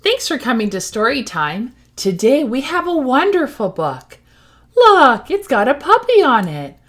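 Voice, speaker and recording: A woman hosts a children's story time with a fairly high voice. Her pitch swings widely and she speaks slowly, addressing young listeners in an animated read-aloud style.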